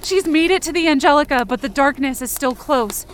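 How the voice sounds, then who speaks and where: A woman playing a frightened young girl who narrates an audio fiction horror story in a tense, breathy, emotional way. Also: sits high, a little fast, a little hiss or hum, close to an everyday voice. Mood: panicked, desperate. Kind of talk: first-person dramatic audio fiction narration.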